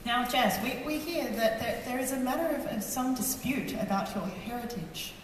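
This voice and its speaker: A woman's voice: a little high, normal speed, slight background noise. A woman co-hosting a convention panel, asking questions in a hesitant, stammering way in a large hall. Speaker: panel co-host. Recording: echoey hall, from far off.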